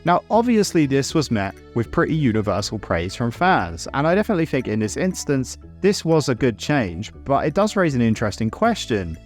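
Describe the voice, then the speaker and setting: A man's voice: medium pitch, very animated, a little fast, hardly any pauses, soft background music. A man narrating a scripted video essay as host, casual and opinionated, with wry asides and the odd swear word.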